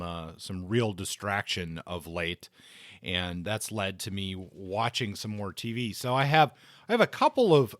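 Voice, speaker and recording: A man hosting a podcast, reviewing things in a casual, conversational way with measured opinions. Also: lowish voice, fairly bright tone, no echo, studio quality. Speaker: podcast host.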